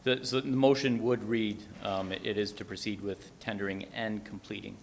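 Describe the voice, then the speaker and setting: A man with a low, creaky voice gives a formal staff report to a council. He speaks in a halting, procedural way, in a reverberant room with faint background noise. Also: steady tone. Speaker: municipal administrator.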